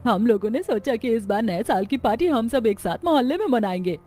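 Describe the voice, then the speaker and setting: A woman voicing chatty, friendly young Indian daughters-in-law from a neighbourhood in an expressive, conversational way for a cartoon story. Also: on the high side, a little fast. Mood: cheerful, eager.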